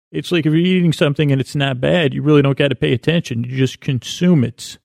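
A man hosting a podcast, talking in a slow, meandering, lulling way as he drifts from thought to thought. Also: medium pitch, gravelly, darkish tone, no room sound, clean studio sound. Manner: slow meandering soothing delivery. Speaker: podcast host. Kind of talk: rambling sleepy podcast intro monologue.

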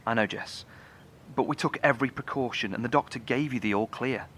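An actor playing a thoughtful, curious male researcher in a horror audio drama, speaking in a measured, precise, brooding way. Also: medium pitch, fairly bright tone, a little hiss or hum, close to an everyday voice. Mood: grave fascination.

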